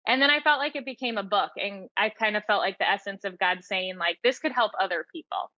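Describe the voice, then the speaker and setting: Bright-voiced woman, slightly dull recording. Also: mid-range voice, a little fast, dry recording. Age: 30s or older. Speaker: podcast guest, author and teacher. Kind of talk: reflective personal interview talk.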